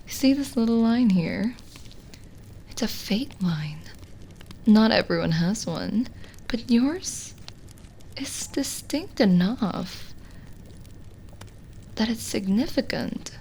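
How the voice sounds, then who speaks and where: A woman playing a lonely, gentle witch in an ASMR roleplay, speaking softly and soothingly, often slipping into a whisper. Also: fairly high pitch, lively intonation, vocal fry, a little hiss or hum, exaggerated voice, poor audio. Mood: gentle, earnest. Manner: slow, hushed, soothing, with pauses. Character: lonely, gentle witch reading a guest's palm. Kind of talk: soft-spoken ASMR roleplay, partly whispered.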